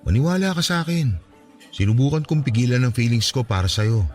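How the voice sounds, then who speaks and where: A man's voice: mid-range voice, lively intonation, fairly quick, darkish tone, faint music behind, natural voice. An actor with a Filipino accent playing an earnest, lovestruck young man pleading his case in a radio drama. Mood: earnest confession.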